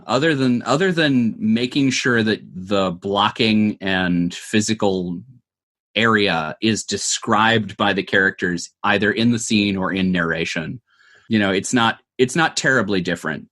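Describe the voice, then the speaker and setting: A man with a fairly low voice speaks as a guest in an interview. He explains things in a thoughtful, conversational way, laying them out step by step. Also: fairly bright tone. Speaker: interview guest, audio drama producer.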